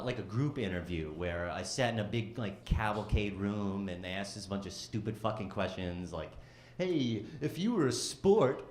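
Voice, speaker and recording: A man doing a stand-up set in a loud, excitable way. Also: medium pitch, reverberant. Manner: loud and excitable, bursts into shouting and singing. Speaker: stand-up comedian.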